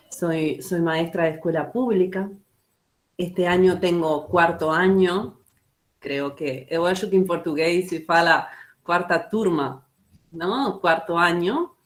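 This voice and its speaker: A woman from Uruguay with a Uruguayan Spanish accent, a schoolteacher presenting her work slowly and carefully at an online book launch. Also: on the low side. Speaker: guest teacher.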